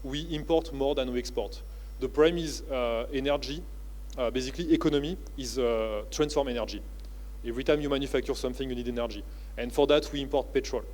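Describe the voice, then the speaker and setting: A man with a French accent speaks from a convention stage, delivering a keynote. His voice sits at an ordinary middle pitch. He pauses often and fills gaps with "uh" and "you know, like", keeping an unpolished, conversational pace. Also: darkish tone, slight background noise, low-quality recording. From France. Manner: halting, with many "uh" and "you know, like" fillers. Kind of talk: conference keynote talk.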